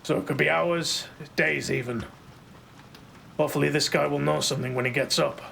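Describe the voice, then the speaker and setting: Man playing a gravelly, brash, higher-voiced marshal. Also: fairly even intonation, normal speed, pushed and bright, slight background noise, exaggerated voice. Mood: brusque. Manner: blunt, swearing, brusque. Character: brash, violent, foul-mouthed male marshal, gruffly protective. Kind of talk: audio drama acting.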